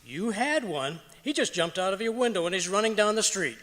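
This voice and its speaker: Officious neighbor, male actor: high-pitched, old-time radio comedy delivery, long hall reverb. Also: expressive, a little fast, bright, forceful tone. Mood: urgent. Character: officious neighbor man offering tax help. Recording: echoey auditorium stage recording.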